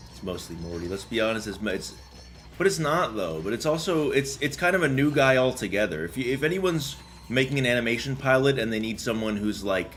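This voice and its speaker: A man's voice: lowish voice, fairly bright tone, slight background noise. A young man doing casual, slangy game commentary and chatting with viewers in a joking, rambling way. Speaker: game streamer. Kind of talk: casual gameplay commentary and chat.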